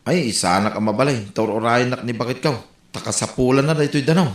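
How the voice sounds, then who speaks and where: A man's voice: mid-range voice, quick pace, echoey room, close to an everyday voice. A man with an Ilocano accent playing a friendly, gossipy village man who hands out advice. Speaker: actor in a comedy skit. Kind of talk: comedy skit acting.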